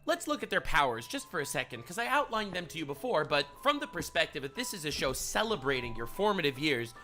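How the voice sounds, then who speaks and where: A man with a fairly high voice presents a scripted video essay. He is emphatic and leans on repeated phrases to build his analysis. Music plays clearly behind him. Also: sing-song, fast talker, sharp tone. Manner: emphatic, rhetorical repetition.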